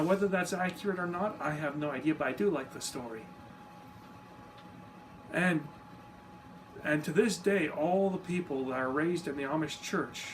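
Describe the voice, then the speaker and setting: Male explainer, slightly high voice, relaxed and informal, recorded from a distance. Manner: easygoing, self-deprecating, informal asides. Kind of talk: casual explanatory talk to camera. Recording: distant mic with steady background noise.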